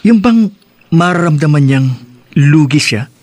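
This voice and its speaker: A modest young Filipino man devoted to his mother in a radio play. His voice sits fairly high, earnest and easily flustered, and is recorded dry. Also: animated, measured pace, a little mellow, dry recording. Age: young adult. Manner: earnest, mildly protesting. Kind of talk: Filipino radio drama acting.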